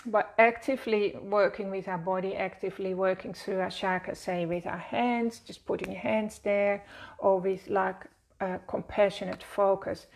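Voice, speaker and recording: A woman with a Dutch accent talks softly through a guided meditation. She leads at an unhurried, soothing pace, with a gravelly fry running through her mid-pitched voice. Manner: slow, gentle, soothing guidance. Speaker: wellness teacher. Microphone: seated at a desk, speaking to camera.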